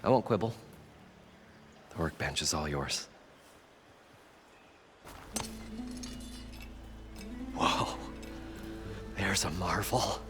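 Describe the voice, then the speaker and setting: An actor playing an earnest, excitable leader of video game adventurers, effusive and stammering when flustered. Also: male voice, low voice, slow pace, soft background music, full character voice. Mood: eager. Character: excitable, earnest male leader of treasure-hunting adventurers, awed and grateful. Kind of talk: video game dialogue acting.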